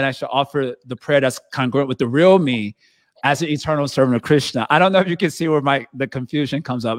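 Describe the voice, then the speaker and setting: A man reflects on a personal question as a devotee, searching for words and restarting his sentences. His pitch is moderate, and the sound is dry and close. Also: no room sound. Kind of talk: reflective discussion.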